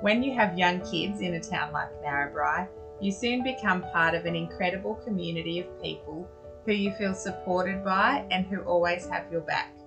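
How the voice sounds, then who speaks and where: An Australian woman hosting a podcast interview, asking gentle questions and encouraging her guest. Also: on the low side, normal speed, over music, low-quality recording. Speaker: podcast host.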